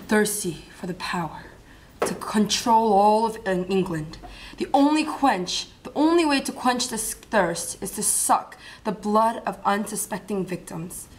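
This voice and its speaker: A woman's voice: mid-range voice, lively intonation, unhurried, lightly acted, rough recording. A woman playing a scheming, power-hungry male vampire count in an amateur dramatic monologue. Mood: menacing, hungry.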